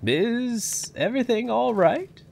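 A man playing a solicitous, sheepish older park owner in an audio drama, with a halting, apologetic manner. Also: very high-pitched, lively intonation, gravelly, darkish tone, slight background noise, exaggerated voice.

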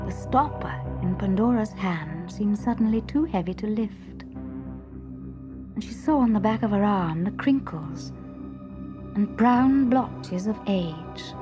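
A woman reading a scripted children's story in an expressive, deliberate manner, hushed at times. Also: medium pitch, over music. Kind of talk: scripted dramatic story narration.

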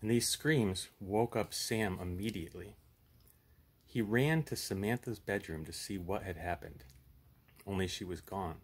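A man's voice: lowish voice, breathy edge. A man in his thirties hosting a puppet show, chatting casually and reading a horror story aloud.

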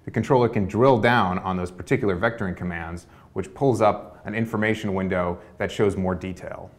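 A man's voice: low-pitched, a little fast. A young man giving a fluent, orderly walkthrough of a prototype. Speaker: researcher demonstrating a prototype. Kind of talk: product demo narration. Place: dark studio with display screens.

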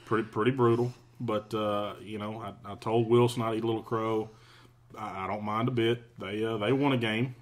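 A man co-hosting a casual podcast who recaps the week's games haltingly, with dry teasing. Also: on the low side, fairly even intonation. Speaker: podcast co-host.

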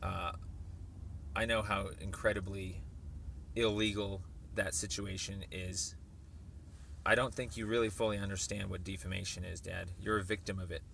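A man leaving a formal voicemail message in an insistent, deliberate, halting way. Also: sits low, little pitch movement, creaky, fairly bright tone, a little hiss or hum.

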